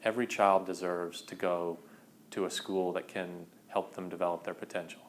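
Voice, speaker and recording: A man's voice: sits low, flat delivery, normal speed, slight background noise. A man making formal, declarative statements in an earnest documentary interview.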